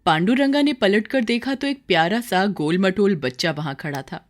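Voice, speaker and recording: A woman's voice: medium pitch, animated, moderate pace. A woman storyteller reading scripted dramatic narration for a moral story.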